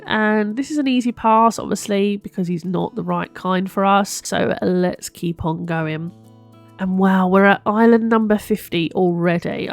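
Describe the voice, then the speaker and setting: A woman gives chatty, casual gameplay commentary as a video host, her voice sounding slightly bunged up. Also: medium pitch. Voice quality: slightly congested, bunged-up nose. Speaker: host of a gaming video series.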